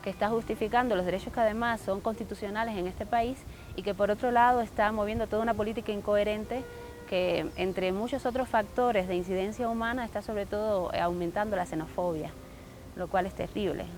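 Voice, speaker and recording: A Cuban woman with a Cuban accent speaking in a documentary interview, measured and articulate as she criticizes incoherent policy. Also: mid-range voice, steady tone, a little mellow, a little hiss or hum. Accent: Cuban Spanish. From Cuba. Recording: music bed underneath.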